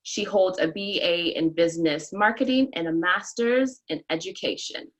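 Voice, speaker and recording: A woman's voice: lowish voice, moderate pace, rough recording. A woman hosting a podcast, upbeat, reading a guest introduction. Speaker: podcast host. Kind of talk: interview with a scripted guest introduction.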